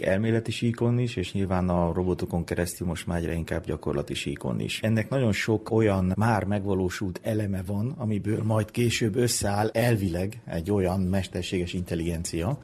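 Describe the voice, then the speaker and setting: A man with a very low, dark voice that has a husky grain. He talks as an interviewed expert, explaining step by step with everyday examples and questions he puts to the listener.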